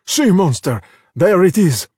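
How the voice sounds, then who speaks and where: A man's voice: mid-range voice, expressive, normal speed, slightly rough, dry recording, studio quality. A man voicing a blustering Italian bully who barks bossy orders in a storybook. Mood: furious, commanding. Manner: shouting, bossy. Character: bullying, blustering Italian harpoon-wielding villain. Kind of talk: children's storybook character voice.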